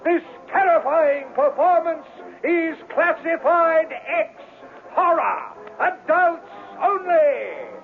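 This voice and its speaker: An actor playing a bombastic circus ringmaster in a radio drama, announcing in a grandiose, drawn-out, declamatory way. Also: male voice, very high-pitched, animated, creaky, soft background music, heavily acted. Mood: grandiose hype. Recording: tinny old radio broadcast.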